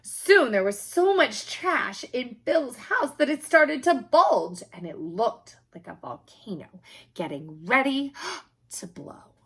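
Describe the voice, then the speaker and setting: A woman reading a children's picture book aloud in an expressive, emphatic storytelling manner, with a slightly husky voice. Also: on the high side, slow pace.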